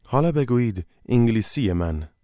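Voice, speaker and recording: A man's voice: mid-range voice, lively intonation, racing, mellow, no echo, clean studio sound. A man narrating a language course, giving short, directive prompts for the listener to repeat. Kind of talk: scripted instruction.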